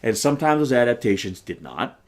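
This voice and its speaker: A man's voice: medium pitch, very animated, a little fast, a little mellow. A man co-hosting a trailer reaction video, talking at length in an earnest, opinionated way and asking rhetorical questions. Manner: earnest, long-winded, asks rhetorical questions. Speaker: co-host of a movie trailer reaction channel. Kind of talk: casual opinionated movie talk.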